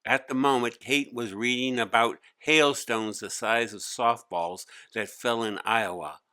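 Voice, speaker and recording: A man's voice: medium pitch, steady tone, measured pace, bright, forceful tone, dry recording. A man narrating a children's story as a scripted read-aloud. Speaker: author-narrator.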